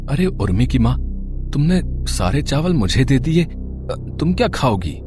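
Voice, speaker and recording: A man's voice: mid-range voice, very animated, unhurried, over music. A man voicing a poor, humble, devout farm labourer and father in a moral story, meek and weary. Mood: tender.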